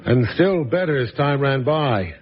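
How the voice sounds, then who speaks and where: A genial, theatrical man of letters played by a male actor spins his tale for a radio play in florid, measured phrases. His voice sits at an ordinary male pitch, in a dull, lo-fi recording with music clearly behind it. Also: lively intonation, close to an everyday voice. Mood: genial, storytelling. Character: once-celebrated male writer, genial storyteller, calm and theatrical. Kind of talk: radio drama acting.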